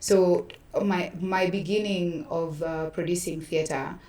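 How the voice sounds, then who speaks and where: A woman's voice: on the low side, steady tone, takes it slowly, warm tone. A woman with a Kenyan accent telling stories conversationally as an interview guest, acting out quoted remarks as she goes. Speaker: theatre producer, interview guest. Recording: roomy echo, distant microphone.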